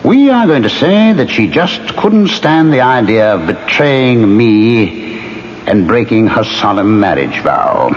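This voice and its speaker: A man's voice: medium pitch, very animated. Suave scheming ghost man in old 70s radio play, taunting, really swoopy intonation, noisy old tape. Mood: coolly scheming. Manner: suave, taunting, deliberate, theatrically precise. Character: smug, scheming male ghost plotting his wife's murder. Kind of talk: 1970s radio drama acting. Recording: dull, noisy old radio broadcast recording.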